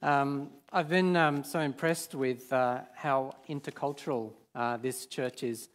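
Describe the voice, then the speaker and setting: A man in his fifties, born in Sweden with Swedish as his first language, preaching on a large church stage in a relaxed, hesitant way full of fillers. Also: medium pitch, unhurried. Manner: hesitant, many fillers and restarts. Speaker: guest preacher. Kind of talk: informal sermon.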